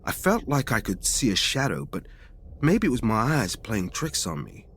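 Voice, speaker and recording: A man's voice: lowish voice, wildly swooping pitch, normal speed, fairly bright tone, husky. A man reading a scripted first-person ghost story in a dramatic storytelling manner. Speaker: story reader.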